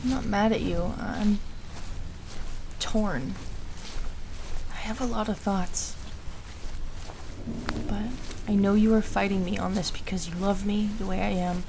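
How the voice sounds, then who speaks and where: A woman's voice: mid-range voice, fairly even intonation, measured pace, pauses often, background noise, close to an everyday voice. A woman playing an anxious, self-doubting young woman in an audio roleplay, hesitant and emotional, defiant when pushed. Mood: torn, self-loathing. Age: young adult.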